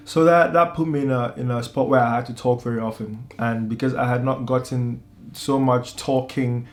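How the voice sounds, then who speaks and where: Young guy vlogging, chill and rambly, lots of ums and restarts, mid-pitch voice, light guitar in the back. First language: English. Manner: relaxed, repeats words and restarts, many ums. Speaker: medical student vlogger. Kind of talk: casual talking-head vlog.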